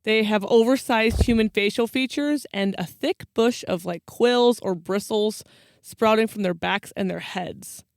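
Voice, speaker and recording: A woman's voice: medium pitch, a little slow, vocal fry, dry recording. A woman co-hosting a podcast, explaining folklore in casual, informal chat. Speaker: podcast co-host.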